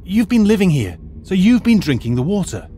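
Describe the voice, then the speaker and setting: A man's voice: sits high, very animated, fairly quick, warm tone, a little hiss or hum. A man playing a lecturing schoolteacher. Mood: tense. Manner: precise, lecturing, explanatory. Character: retired archaeologist turned schoolteacher, logical, lecturing. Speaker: teacher. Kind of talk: audio drama acting.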